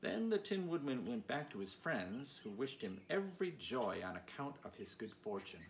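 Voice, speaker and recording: A man's voice: mid-range voice, sing-song, moderate pace. A man reading a children's storybook aloud as narrator. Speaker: audiobook reader. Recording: clear background noise.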